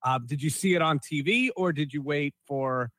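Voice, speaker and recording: A man with a fairly high voice hosts a casual podcast. He rambles excitably and repeats himself for emphasis, recorded close and dry. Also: normal speed, dry recording. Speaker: podcast host.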